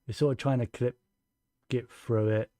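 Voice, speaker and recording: A man's voice: mid-range voice, steady tone, moderate pace, dark tone, no echo, studio quality. A man giving casual live gameplay commentary, muttering and thinking aloud as he goes. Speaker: game streamer. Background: faint game music.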